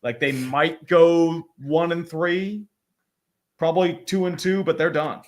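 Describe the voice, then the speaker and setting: A man's voice: a little high, fairly even intonation, slow pace. A man co-hosting a casual sports chat, sardonic, reading viewer comments aloud and riffing on them. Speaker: podcast co-host.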